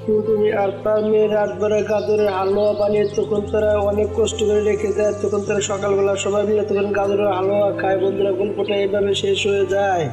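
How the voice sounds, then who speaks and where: A man's voice: sits high, completely flat delivery, fast talker, hardly any pauses. A man narrating a children's cartoon story as the storyteller. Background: music.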